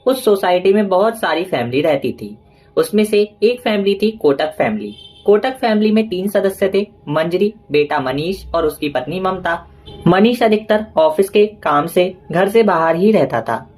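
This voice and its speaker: A woman serves as narrator of a scripted tale. Her pitch is on the low side for a woman, and she delivers the lines as storytelling narration. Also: lively intonation, moderate pace, a little mellow.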